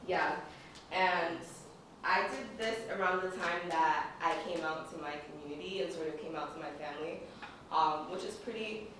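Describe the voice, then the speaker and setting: Low-voiced young woman in an echoey room. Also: normal speed, distant mic, background noise. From Dominican Republic. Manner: relaxed, with many fillers and small laughs. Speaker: speaker sharing her story. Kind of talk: casual share-out talk.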